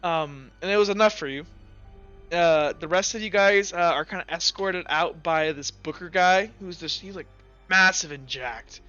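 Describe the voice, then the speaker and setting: High, bright-voiced man running a game. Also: muffled recording, faint music behind. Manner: halting, conversational. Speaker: game master. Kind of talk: casual improvised tabletop game narration.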